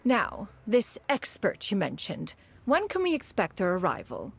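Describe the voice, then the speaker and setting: A woman's voice: medium pitch, huge pitch swings, unhurried, vocal fry, slightly rough, faint music behind, full character voice. A woman playing a dignified immortal posing as a refined collector, speaking in a formal, archaic, haughty manner.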